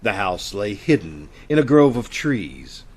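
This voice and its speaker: A man playing a sinister, teasing storyteller of eerie tales in an old-time radio horror play, slyly ominous and deliberate. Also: fairly low pitch, sing-song, husky, pitched below the performer's own voice, heavily acted. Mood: grave, eerie.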